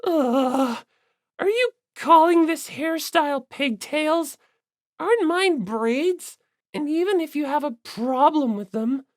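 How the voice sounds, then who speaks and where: A man's voice: very high voice, expressive, slow pace, no echo, clean studio sound, pitched above the performer's own voice, full character voice. A man voicing a touchy, insecure, hostile teenage girl in an audiobook, grumbling and explosive.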